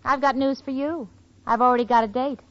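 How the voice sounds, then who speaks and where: Actress as a high-pitched, wry sitcom roommate. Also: female voice, fairly even intonation, a little fast, close to an everyday voice. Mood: indignant. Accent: American. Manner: wry, sarcastic, emphatic. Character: quick-witted, exasperated young single woman, sitcom roommate. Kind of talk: 1940s-50s radio comedy acting. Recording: dull old-time radio recording.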